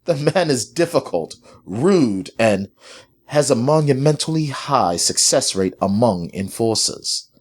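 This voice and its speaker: A man voicing a polite, authoritative British mistress in a formal, measured manner for a story. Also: medium pitch, expressive, breathy edge, pitched above the performer's own voice. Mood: insistent.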